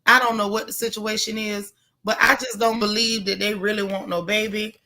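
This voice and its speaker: Hoarse, gravelly woman livestream host. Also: medium pitch, level tone, normal speed. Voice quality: loud, gravelly voice. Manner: chatty, greets viewers by name, folksy slang. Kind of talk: casual live recap chat.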